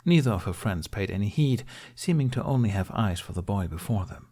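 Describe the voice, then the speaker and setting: A man's voice: on the low side, animated, fairly quick, warm tone. A man reading a scripted story as an audiobook narrator.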